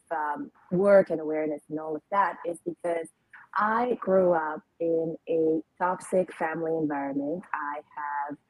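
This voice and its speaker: A young adult woman from Lebanon, telling her life story as a podcast guest in a reflective way, pausing often with ums. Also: deep voice, a little mellow, husky, no echo, low-quality recording. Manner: reflective, frequent ums and pauses. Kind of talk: personal storytelling interview.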